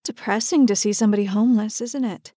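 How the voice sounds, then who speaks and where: Gossipy, pitying passers-by in a storybook, voiced by a woman, murmur their judgements. The sound is dry and close, at a middling pitch. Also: quick pace, dry recording, natural voice. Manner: hushed, judging murmur. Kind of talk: storybook character voice.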